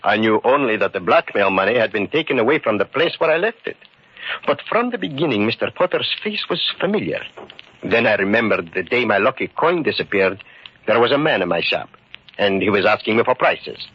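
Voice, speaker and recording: An actor playing an earnest immigrant shoemaker turned amateur detective in a radio mystery, speaking in careful, formal phrases. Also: male voice, on the low side, big pitch swings, brisk, breathy edge. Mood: calmly assured. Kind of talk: old-time radio mystery acting. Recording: muffled vintage radio recording.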